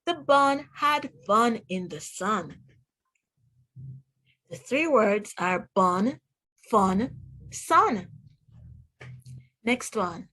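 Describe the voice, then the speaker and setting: Slow, high-voiced woman reading to children. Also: expressive, pushed and bright, low-quality recording. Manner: deliberate word-by-word enunciation, asks the listener questions. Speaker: teacher reading aloud to young children. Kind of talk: read-aloud phonics lesson for children.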